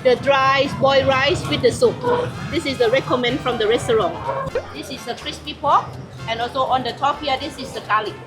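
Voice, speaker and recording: A woman with a Thai accent guides a food tour, describing each dish in simple, friendly English. Her voice sits high. Loud music plays in the background. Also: brisk. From Thailand. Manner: chatty, explains dishes in simple English. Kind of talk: guiding explanation.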